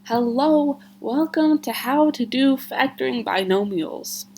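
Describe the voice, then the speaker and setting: A woman's voice: high-pitched, expressive, takes it slowly, mellow, a little hiss or hum. Congested young woman explaining math. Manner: chatty, filler-heavy explaining with frequent "like" and "right?". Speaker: math tutor. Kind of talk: casual screen-recorded math lesson.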